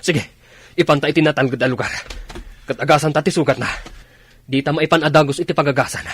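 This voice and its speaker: An actor with an Ilocano accent playing a steady commanding army officer in a radio drama, terse and authoritative. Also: male voice, on the high side, fast talker, a little hiss or hum, natural voice. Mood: commanding, urgent.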